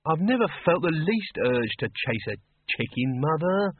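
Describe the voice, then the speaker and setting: A man's voice: fairly high pitch, big pitch swings, slow pace, slightly rough, no room sound, exaggerated voice. A man voicing a weary young daughter. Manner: weary, resigned. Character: weary, patient young woman, calm daughter. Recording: muffled phone-like audio, dry.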